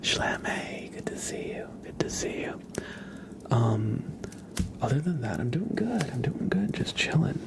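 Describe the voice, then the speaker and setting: A man hosting a live ASMR stream, chatting casually and haltingly in a soft, breathy whisper with a relaxed, musing manner. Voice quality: soft, breathy whisper with some creaky low voicing. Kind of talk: whispered ASMR livestream chat.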